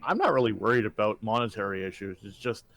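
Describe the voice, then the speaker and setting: A man's voice: on the low side, animated, fast talker, a bit bright, no room sound. A man co-hosting a podcast in casual, unscripted conversation, blunt and informal in the way he talks. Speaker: podcast co-host.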